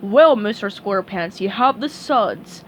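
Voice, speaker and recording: A woman voicing a calm, kindly doctor who talks soothingly. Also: mid-range voice, unhurried, a little hiss or hum, natural voice.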